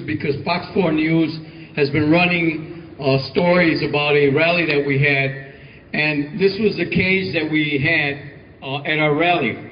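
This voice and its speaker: A man organizing a protest who speaks plainly at an outdoor rally, often pausing with "uh". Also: on the high side. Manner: plain-spoken, frequent "uh" fillers. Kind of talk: rally speech. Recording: distant, dull live-stream audio.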